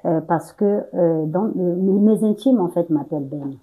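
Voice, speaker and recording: Guest: young woman, dark mellow tone, slightly husky, halting, lots of fillers. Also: on the low side, rough recording. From Congo. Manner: many fillers and restarts, searching for words. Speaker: entrepreneur interview guest. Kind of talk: hesitant conversational interview answers.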